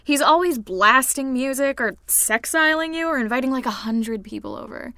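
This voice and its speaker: A sardonic young woman in a naturalistic audio drama. Her voice sits a little high in a very dry recording. She talks casually, with dry sarcasm and ready exasperation. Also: expressive, normal speed, sharp tone, no room sound. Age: young adult.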